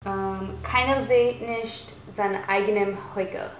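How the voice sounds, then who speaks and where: A woman with flavoured speech reads short folk sayings one by one. Her pitch is average for a woman. The recording has a roomy echo and a faint layer of background noise. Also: fairly even intonation, unhurried. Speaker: reader of Yiddish proverbs.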